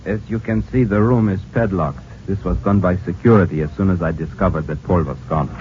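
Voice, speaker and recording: An actor playing a formal, deliberate German émigré professor with a German accent in an old-time radio drama. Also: male voice, deep voice, fairly even intonation, close to an everyday voice. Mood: formal, courteous. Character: German émigré male scientist, measured and secretive professor. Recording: tinny, noisy old radio recording.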